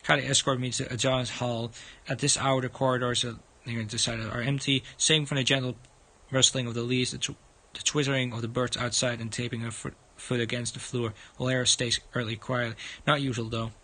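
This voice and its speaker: A man's voice: medium pitch, level tone. A man reading a visual novel aloud on a gaming livestream, stumbling over words as he goes. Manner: halting reading with frequent stumbles. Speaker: livestream host. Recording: loud music under the voice.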